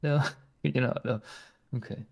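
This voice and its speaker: A man's voice: medium pitch, unhurried, vocal fry, dull audio. A man with a Scottish accent talking in character during a roleplay, flirtatious and teasing. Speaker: roleplay player.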